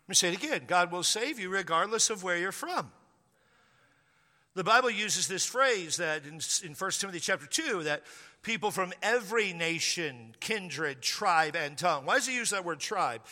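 A man's voice: high voice, animated, normal speed, sharp tone. A man preaching a sermon as pastor of his church, driving points home with emphatic repetition. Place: church sanctuary. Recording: long reverb of a hall.

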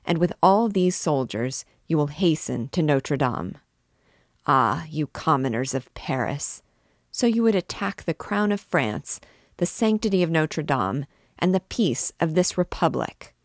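Wrathful old monarch giving orders, voiced by a woman narrator, low and unhurried. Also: big pitch swings, no echo, studio quality, exaggerated voice. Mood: cold wrath. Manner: commanding, rising to shouted orders. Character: old fierce male king, wrathful and cunning.

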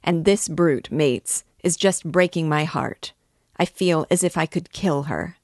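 A woman voicing a brutal, drunken young peasant man who shouts boastful, goading commands in an audiobook. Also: deep voice, slow pace, dry recording, studio quality. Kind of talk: audiobook character voice.

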